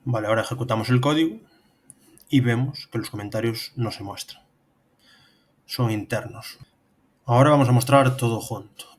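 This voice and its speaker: A man in his thirties with an accent from Spain, giving step-by-step tutorial narration as an instructor. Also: medium pitch. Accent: Spanish from Spain. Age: 30s. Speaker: programming instructor. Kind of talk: tutorial narration over screen demonstration.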